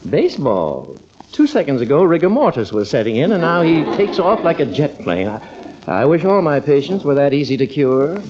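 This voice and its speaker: A man's voice: fairly high pitch, very animated, moderate pace. A man playing a wry, exasperated middle-aged doctor father in a 1950s American radio comedy, grumbling with dry sarcasm. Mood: wry amazement. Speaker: radio actor. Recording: dull, very noisy old radio recording.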